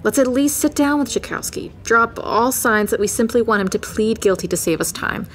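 A woman's voice: on the high side, animated, normal speed, a little hiss or hum, natural voice. An actress playing a stubborn, compassionate homicide detective in an audio drama, pleading her case in a principled, insistent way. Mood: firm, reasoning.

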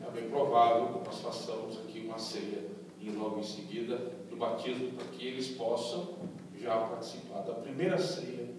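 A man's voice: medium pitch, flat delivery, moderate pace, noisy background. A man speaking as a pastor, fervently giving church announcements and calling for amens. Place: large church hall, indoors. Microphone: handheld mic, recorded from far in the pews. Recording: echoey hall from far off.

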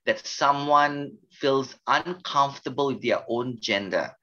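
A gentle, soft-spoken pastor in his fifties with a Malaysian accent tells his story at an unhurried, reflective pace. His voice sits in a middle register for a man. The sound is a little dull and lo-fi. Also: medium pitch. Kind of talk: podcast interview.

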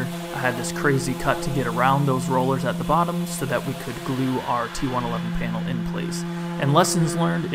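Man, 30s, DIY build narrator, upbeat and explanatory, music bed. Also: medium pitch. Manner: explanatory, upbeat about tools. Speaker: woodworking channel host.

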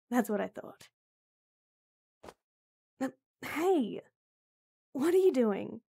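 A woman playing a gruff but caring tomboy best friend in an intimate audio roleplay, blunt and exasperated, with frequent sighs. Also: high voice, sing-song, very slow, dark tone, heavily acted. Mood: indignant, alarmed.

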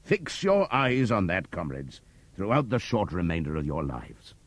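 A man voicing an old prize boar delivering a passionate speech to the farm animals, moving between loud appeals and hushed asides. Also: low voice, wildly swooping pitch, unhurried, no room sound, pitched below the performer's own voice, exaggerated voice. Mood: impassioned. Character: old prize boar, wise, rousing orator. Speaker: audiobook reader. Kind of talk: dramatic audiobook reading.

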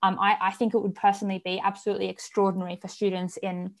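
A woman's voice: mid-range voice, level tone, vocal fry. A woman in her thirties with an Australian accent, speaking as a panelist on an online panel from her home, earnest and fluent. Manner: earnest, fluent, measured with some restarts. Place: home room with bookshelves. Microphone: video call, earphones.

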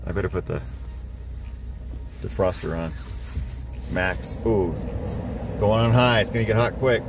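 Un homme commente avec enthousiasme un trajet en voiture sous la neige et filme au téléphone depuis le siège passager. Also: male voice, deep voice, unhurried, over music. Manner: upbeat, chatty, easily impressed. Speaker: channel host filming from the passenger seat. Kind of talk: casual running commentary. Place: inside a car driving through a snowstorm. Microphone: handheld phone camera. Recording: loud car and road noise.

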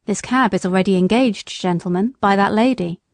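A woman's voice: mid-range voice, fairly quick, close to an everyday voice. Woman reading as a kindly, firm working cabman. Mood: stern, dry. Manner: firm, fatherly, reassuring. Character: kindly, plain-spoken working man, a London cab driver.

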